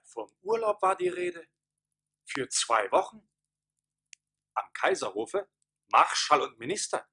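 A jealous hothead workman in a radio play, raspy and creaky, forceful. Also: a little high, huge pitch swings, slow, pauses often, no room sound, studio quality, heavily acted. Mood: irritated. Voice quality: gravelly, very husky. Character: jealous, hot-tempered craftsman, a jilted bridegroom. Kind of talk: theatrical German radio play acting.

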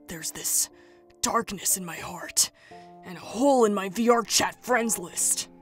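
A woman's voice: mid-range voice, a little slow, soft background music, natural voice. A woman playing a brooding, heartbroken, melodramatic youth in a comedic roleplay skit. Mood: gloomy, heartbroken.

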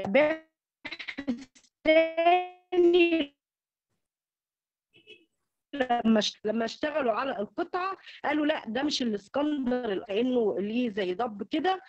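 A woman with an Egyptian Arabic accent giving an academic slide lecture over an online call, halting and repeating words as she goes. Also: on the high side, darkish tone. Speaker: academic presenter. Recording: dull lo-fi online call audio.

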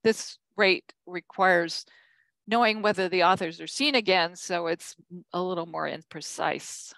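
An older woman delivers a keynote talk over a video call, walking through slides at a measured pace. Her voice sits low and has a gravelly edge, and the sound is dry and close, with no room around it. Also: fairly bright tone, dry recording. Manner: measured, reads from slides with frequent ums and self-corrections. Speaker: keynote speaker. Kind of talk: conference keynote presentation.

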